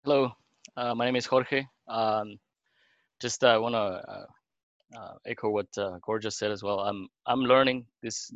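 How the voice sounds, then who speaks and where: A middle-aged man from Argentina, a pastor, sharing his story in a halting way with many fillers and restarts. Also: lowish voice, gravelly, fairly bright tone, dry recording, highs cut off. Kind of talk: panel talk.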